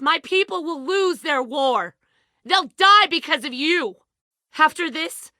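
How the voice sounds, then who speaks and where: A woman's voice: sits high, sharp tone, no echo, studio quality, full character voice. An actress voicing a ruthless, hardened woman who leads a survivor militia in a video game drama, speaking in a controlled, menacing and persuasive way. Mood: bitter, strained.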